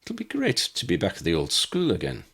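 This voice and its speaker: A man's voice: sits low, wildly swooping pitch, brisk, a bit bright, heavily acted. A man voicing a proud, haughty male cat in an audiobook story. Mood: affronted, pleased. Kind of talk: audiobook character reading.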